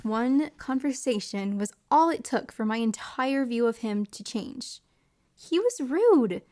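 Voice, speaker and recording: A woman acts out a flustered young hospital volunteer in an audio drama. Her voice sits fairly high, and she comes across as shy, apologetic and hesitant. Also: lively intonation, measured pace, mellow, no echo, clean studio sound. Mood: reflective. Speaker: female lead and narrator of an audio drama. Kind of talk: audio drama acting with reflective first-person narration.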